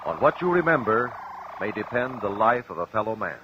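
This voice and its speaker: An actor playing a grave investigator who addresses the audience in vintage radio drama narration. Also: male voice, fairly low pitch, big pitch swings, fairly quick, heavy background noise. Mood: solemn. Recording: dull old radio broadcast recording. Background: loud music.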